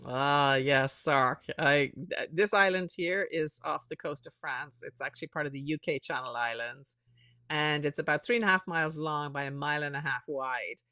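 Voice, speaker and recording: A woman's voice: sits low, normal speed, no room sound. Irish woman, chatty storyteller. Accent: Irish, softened by years in America. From Ireland. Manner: lively storyteller, chatty, laughs often. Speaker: author and guest. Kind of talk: casual interview chat.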